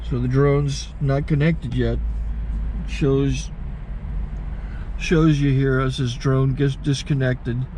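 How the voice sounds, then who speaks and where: Man in a truck cab, mellow, halting tech demo, faint road noise behind. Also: mid-range voice, rough recording. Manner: relaxed, halting, self-correcting. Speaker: channel host, recording taper. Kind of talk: casual how-to demonstration. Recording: faint car and outdoor noise.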